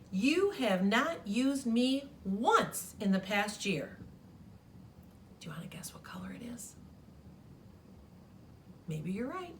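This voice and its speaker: A woman's voice: on the high side, fairly bright tone, a little hiss or hum. A woman voicing a bossy, neglected pink crayon from a storybook, sassy and pointed.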